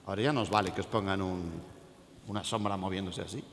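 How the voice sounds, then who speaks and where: A man's voice: low-pitched, steady tone, quick pace, slight background noise. A man on an animation panel, speaking emphatically and hesitating over names. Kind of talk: panel discussion. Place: art school event hall. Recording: echoey hall.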